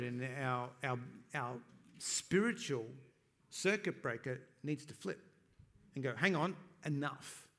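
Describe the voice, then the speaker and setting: A man preaching a sermon, swinging between loud, emphatic declarations and quiet, joking asides to the congregation, slowing down to stress key phrases. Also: medium pitch. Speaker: pastor.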